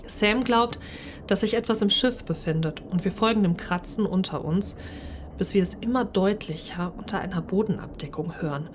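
Expressive woman narrating over noisy background. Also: medium pitch, normal speed. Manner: expressive, acts out the character's speech. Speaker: narrator and player of a solo role-playing game. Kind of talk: first-person dramatised story narration.

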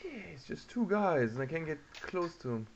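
Male gamer, muffled noisy home recording, unhurried halting commentary, mid-range voice. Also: fairly high pitch, very animated, gravelly. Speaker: video game player. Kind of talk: casual gameplay commentary.

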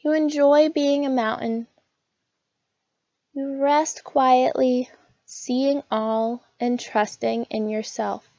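Slow, mellow woman guiding meditation. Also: on the high side, no room sound, muffled recording. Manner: soothing, gentle, unhurried instruction. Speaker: guided meditation narrator. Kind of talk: scripted guided meditation.

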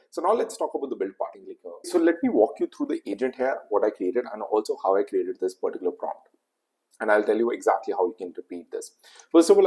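A young man with an Indian English accent talks fast through a screen-recorded tutorial. His voice sits fairly low and his talk is loose and full of fillers, recorded on a webcam mic indoors. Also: mellow, low-quality recording. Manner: quick, loose, with frequent fillers. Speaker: tutorial host. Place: indoor room.

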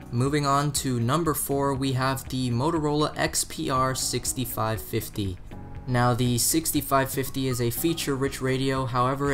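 American guy doing a scripted product review, medium pitch, bit of guitar music behind him. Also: a bit bright. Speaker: presenter from a two-way radio shop.

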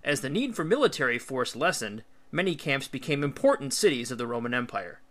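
A man's voice: medium pitch, expressive, brisk, a bit bright. A man reading an educational history book aloud like an audiobook, as a teacher would, with emphatic, careful phrasing.

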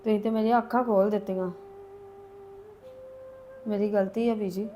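A woman's voice: medium pitch, fairly even intonation, normal speed, warm tone, faint music behind, close to an everyday voice. A woman playing a deceived, remorseful young mother in a family drama, earnest and apologetic.

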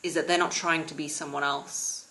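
A woman in her thirties giving casual advice to camera from her living room sofa, in a calm, steady, self-described monotone. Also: low voice, normal speed, rough recording. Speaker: vlogger and confidence coach.

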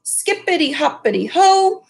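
A woman's voice: extremely high pitch, big pitch swings, measured pace, vocal fry, voice pushed up, full character voice. A woman voicing a boastful, jaunty magic wok from a storybook, chanting in sing-song rhyme.

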